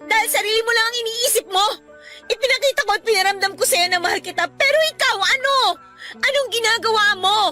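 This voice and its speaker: Very high, bright, emotional Filipina young mother. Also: fast, faint music behind. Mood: furious. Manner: emotional, confrontational, pleading. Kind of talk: Filipino radio drama acting.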